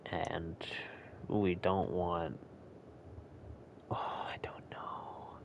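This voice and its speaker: An American man giving rambling, casual gameplay commentary at home, chuckling often and quick to rant. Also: deep voice, fairly even intonation, in a whisper, lo-fi sound. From United States. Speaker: gaming video host. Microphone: home recording setup.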